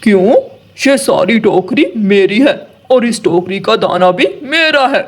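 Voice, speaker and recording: A man voicing a greedy, sly male crow in an animated children's story, with noise behind him. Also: high voice, measured pace. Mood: defiant. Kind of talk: animated Hindi moral story dialogue.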